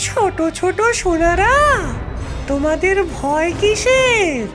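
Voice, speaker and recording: Very high-pitched evil cartoon witch. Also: expressive, music in the background, full character voice. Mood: mock-sweet menace. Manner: slow, drawn-out, theatrical menace with cackling. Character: gloating evil witch, sinisterly motherly, hungry for blood. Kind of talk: cartoon horror voice acting.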